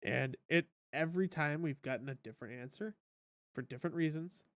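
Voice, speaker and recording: Higher-voiced chatty man, dry sound. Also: brisk, a bit bright, no echo. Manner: chatty, reads chat aloud, teasing. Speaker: co-host. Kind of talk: casual podcast chat.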